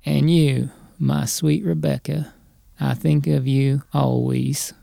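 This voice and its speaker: An actor playing a cocky 1890s gambler in a Western drama, teasing and mock-courtly with plenty of swagger. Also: male voice, mid-range voice, level tone, slow, creaky, warm tone, no room sound, clean studio sound, heavily acted. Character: cocky young male frontier gambler in the 1890s. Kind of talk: semi-animated film voice acting, Western drama.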